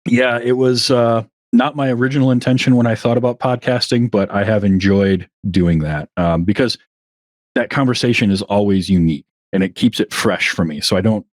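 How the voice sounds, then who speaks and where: Guy chatting easy on a podcast, kinda low voice, dry close sound. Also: normal speed, no room sound. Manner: relaxed, chatty, frequent fillers. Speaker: podcast guest. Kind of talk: casual interview chat.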